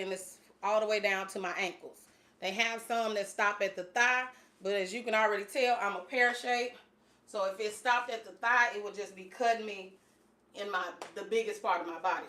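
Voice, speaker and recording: A woman's voice: medium pitch, a bit bright. Chatty woman in her late forties. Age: 40s. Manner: chatty, laughs often, many fillers. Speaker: fitness channel host. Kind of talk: casual livestream chat.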